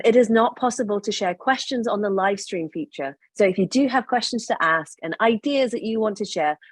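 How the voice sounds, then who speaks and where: A woman's voice: medium pitch, no echo. Woman moderator, upbeat, close and dry recording. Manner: upbeat, welcoming. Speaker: panel moderator. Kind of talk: moderating an online panel.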